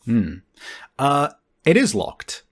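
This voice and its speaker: A man's voice: medium pitch, wildly swooping pitch, slow, husky. A man acts as game master for a tabletop roleplaying game. He improvises his narration casually and swears freely as he describes scenes to his player.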